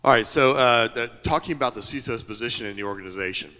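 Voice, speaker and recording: A man in the audience, a compliance officer, asking a question in a loud, direct way with hesitant fillers. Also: mid-range voice, fast. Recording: echoey conference hall.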